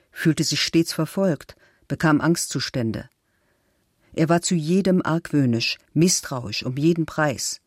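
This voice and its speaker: A man reading a first-person statement dramatically, in a measured, deliberate way. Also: fairly high pitch, darkish tone, dry recording, clean studio sound.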